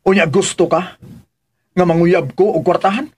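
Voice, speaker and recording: An actor with a Filipino accent playing a stubborn, defensive grown son in a radio drama, insistent and challenging. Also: male voice, sits high, normal speed, darkish tone, slightly rough. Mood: indignant, challenging. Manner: insistent, challenging, fires back questions.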